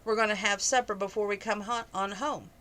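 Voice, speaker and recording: A woman's voice: mid-range voice, fairly even intonation, brisk, pushed and bright, close to an everyday voice. A woman reading aloud, voicing a blunt, skeptical young cowboy in a storybook. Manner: blunt, disbelieving.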